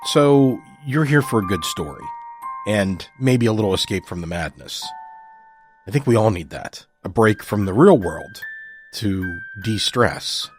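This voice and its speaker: A man speaking as a podcast host, giving a measured, sincere scripted introduction to listeners. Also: on the low side, expressive. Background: music.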